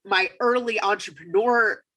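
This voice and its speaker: A woman with a raspy voice, getting over a cold, speaking as an upbeat, emphatic guest in a casual podcast interview. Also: mid-range voice, measured pace, bright, forceful tone.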